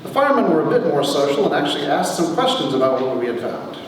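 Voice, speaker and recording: A man reads a humorous memoir with wry timing and dramatic pauses. His fairly high voice swings in an animated way. He is picked up from a distance in a very echoey hall. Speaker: author reading his own story. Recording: echoey hall from far off, faint noise.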